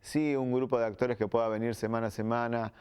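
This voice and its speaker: A man's voice: medium pitch, little pitch movement, fast, darkish tone, clear, resonant tone. A man with an Argentine accent speaking reflectively as a radio drama producer, explaining in an interview style.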